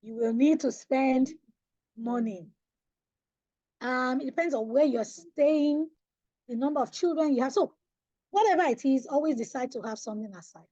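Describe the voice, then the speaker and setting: Emphatic Nigerian woman, high husky voice. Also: moderate pace, long pauses, dark tone, no room sound, highs cut off. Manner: emphatic, preacherly, asks the audience questions. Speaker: financial coach. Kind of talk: webinar talk on personal finance. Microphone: online webinar audio.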